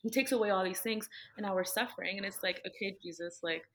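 A woman's voice: medium pitch, fast, a bit bright. A young woman, a podcast guest, talking earnestly about her faith in a casual, rambling way with many fillers.

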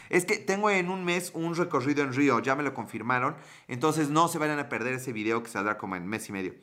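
Mexican male streamer, rambling and crude, bright forward tone. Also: mid-range voice, expressive, fairly quick. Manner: joking, crude slang, rambling. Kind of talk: casual livestream chat, reading viewer comments.

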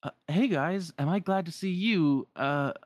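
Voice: mid-range voice, measured pace, no room sound, voice pushed up, close to an everyday voice. A performer voicing an earnest, flustered young boy hero who stammers with devotion in a retold game story. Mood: flustered, hesitant.

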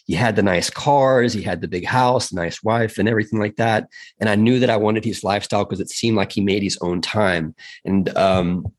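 A man's voice: on the low side, moderate pace. A man from South Africa, a podcast guest telling his story in a chatty, earnest way with frequent fillers. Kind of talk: casual storytelling in a podcast interview.

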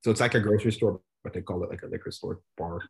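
A young man co-hosting a podcast in a loose, rambling chat, full of fillers and quick laughs. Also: low voice, fairly even intonation, creaky, a little mellow. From United States. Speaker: podcast co-host. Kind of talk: casual chat.

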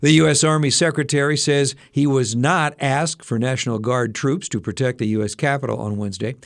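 A man hosting a casual daily news briefing, slightly hoarse and adding personal asides to the headlines. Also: medium pitch, fairly even intonation, brisk, dry recording, studio quality. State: slightly hoarse, losing his voice. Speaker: radio news briefing host.

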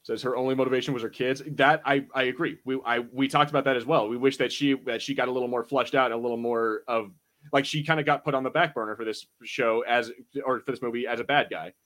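A man's voice: medium pitch, steady tone, fast, a bit bright. A man hosting a podcast in a casual, informal, slangy chat style. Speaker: podcast host.

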